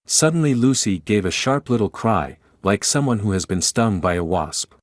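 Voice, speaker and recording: A man narrates a storybook from a script. His voice sits fairly low. The recording is dry, with no room sound. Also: expressive, moderate pace, dry recording.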